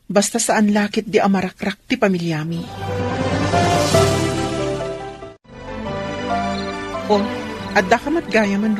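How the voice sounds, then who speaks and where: A woman's voice: medium pitch, close to an everyday voice. A woman playing a long-suffering, anxious wife and mother in a radio drama, speaking earnestly and pleadingly. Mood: troubled.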